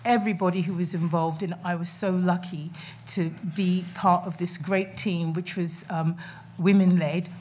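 A woman's voice: fairly low pitch, fairly even intonation, normal speed, a little mellow, a little hiss or hum. Chatty middle-aged woman on a panel. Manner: chatty, many fillers and restarts, laughs. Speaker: panel speaker, documentary maker. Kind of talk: festival panel talk. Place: conference room with audience. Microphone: table mic on stand.

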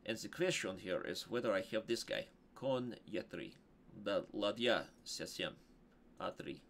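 A man in his thirties streaming from a room on a headset mic, commenting on his own game with excitable, jokey asides while working out moves aloud. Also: on the low side, moderate pace. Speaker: chess streamer. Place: indoor home streaming room. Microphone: headset microphone.

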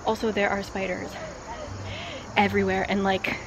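A young woman with a cold, hoarse and congested, talking quietly to a phone held at arm's length while walking a forest trail. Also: medium pitch, flat delivery, normal speed, noisy background. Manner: quiet, hushed and slightly hoarse. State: congested with a cold, voice partly lost. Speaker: vlogger. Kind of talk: casual vlog talk to camera.

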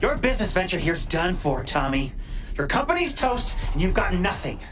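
A woman's voice: lowish voice, big pitch swings, moderate pace, fairly bright tone, a little hiss or hum. An actress playing a cocky, foul-mouthed young woman hacker in a video game, taunting and sarcastic. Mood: mocking. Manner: brash, sarcastic, swearing. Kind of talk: video game cutscene acting.

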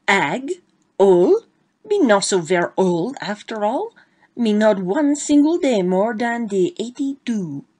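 A woman's voice: mid-range voice, big pitch swings, a little mellow. Woman putting on a prim old Frenchwoman. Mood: indignant. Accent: French (put on). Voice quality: broken English, slow and mincing. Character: vain elderly French woman, prim, indignant.

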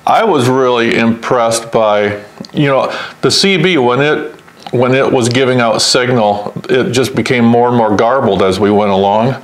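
Halting, plain-spoken American man chatting. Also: mid-range voice. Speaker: overlanding channel co-host. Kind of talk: casual unscripted chat to camera.

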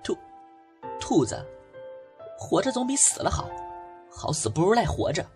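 Streetwise young man, acted by a woman, emotional, slangy, low-set, animated pitch, radio drama. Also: measured pace, soft background music. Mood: worried, scolding. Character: streetwise, protective, hot-tempered young hustler man. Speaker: voice actor. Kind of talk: audio drama acting.

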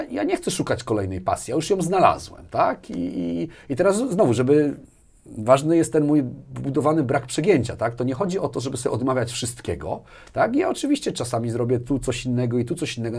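A man's voice: on the high side, vocal fry, darkish tone. Man in his forties, casual and emphatic. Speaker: interview guest, business executive. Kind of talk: casual interview conversation. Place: small indoor studio with armchairs and bookshelves. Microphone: lapel mic.

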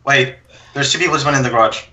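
A young man chatting casually while gaming, explaining things in a halting, rambling way. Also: medium pitch, a crowd in the background. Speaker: gaming storyteller.

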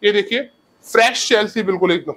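Young man with a lapel mic. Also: fairly bright tone. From India. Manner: fast sales pitch. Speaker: shoe seller. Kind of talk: product showcase. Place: shoe showroom.

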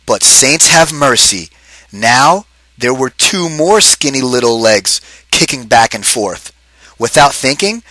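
A man reading a picture book aloud to children, pausing to ask them questions. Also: mid-range voice, sharp tone, no echo, rough recording. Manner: asks the kids questions between pages. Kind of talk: interactive read-aloud for children.

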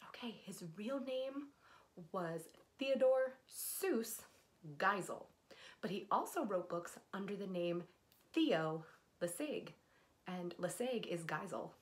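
A woman's voice: medium pitch, lively intonation, takes it slowly. A woman in her forties, a librarian putting a trivia question to camera in a small room. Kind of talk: quiz presentation to camera. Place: small indoor office room.

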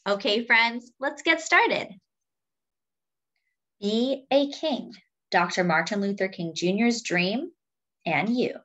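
A woman reading a picture book aloud to young children in a deliberate, teacherly way, adding simple explanations as she goes. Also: on the high side, sharp tone, highs cut off. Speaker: children's read-aloud storyteller.

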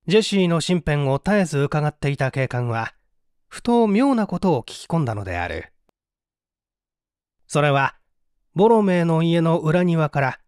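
A man's voice: fairly high pitch, no echo, clean studio sound. A man narrating a mystery story in a formal, theatrical, suspenseful literary reading style.

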